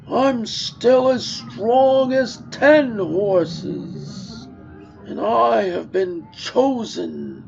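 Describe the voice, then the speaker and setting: A woman voicing a proud, grumbling old male camel in a storybook, complaining in a drawn-out way. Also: mid-range voice, gravelly, darkish tone, lo-fi sound, voice pushed up, full character voice. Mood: boastful.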